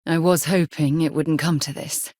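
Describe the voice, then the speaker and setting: A woman playing a composed, principled space explorer in a video game, with articulate, measured and formal phrasing. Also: low voice, steady tone, darkish tone, no room sound, studio quality. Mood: composed, reserved. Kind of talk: video game character dialogue.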